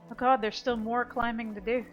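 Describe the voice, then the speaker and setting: Higher-voiced woman casually commentating a game. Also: flat delivery, brisk, highs cut off, faint music behind. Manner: chatty, thinking aloud while playing. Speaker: video game streamer.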